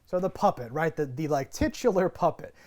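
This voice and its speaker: Casual, animated podcast co-host recapping TV. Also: medium pitch, brisk. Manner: animated retelling with acted-out quotes and swearing. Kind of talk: casual TV episode recap chat.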